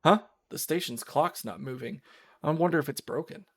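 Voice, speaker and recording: Man reading as aloof, fatalistic schoolgirl narrator, measured. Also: a little high. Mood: puzzled. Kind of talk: scripted visual novel narration, read aloud.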